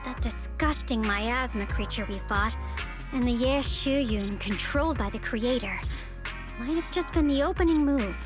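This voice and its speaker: A woman's voice: on the high side, measured pace, close to an everyday voice. An actress voicing a grave, resolute young female fighter in a video game, speaking solemnly and formally. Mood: grave, wary. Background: game music.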